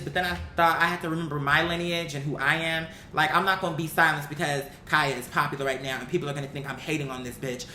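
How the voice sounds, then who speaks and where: Blunt American woman in an echoey room. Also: sharp tone. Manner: blunt, emphatic, slangy, with rhetorical questions and acted-out quotes. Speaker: vlogger, commentary host. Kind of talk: opinionated casual commentary to viewers. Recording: roomy echo with faint rumbling noise.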